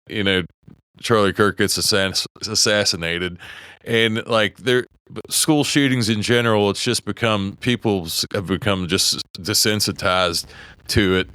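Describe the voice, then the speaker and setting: A man's voice: sits low, bright, forceful tone, smooth, no room sound. A middle-aged man with a West Virginia accent, co-hosting a podcast in heated, profane casual talk, often stopping and restarting his sentences. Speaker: podcast co-host. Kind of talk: casual opinionated chat.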